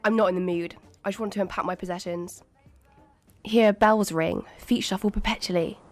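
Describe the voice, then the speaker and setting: A woman playing a brooding, homesick country schoolgirl, plain and serious, with subdued, measured delivery in a poetic audio drama. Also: mid-range voice, fairly even intonation, faint music behind, lightly acted. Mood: sullen.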